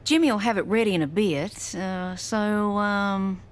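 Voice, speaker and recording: A woman's voice: mid-range voice, unhurried, lightly acted. A woman playing a timid, curious small-town diner waitress in an audio drama, hesitant and unsure. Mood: polite, nervous.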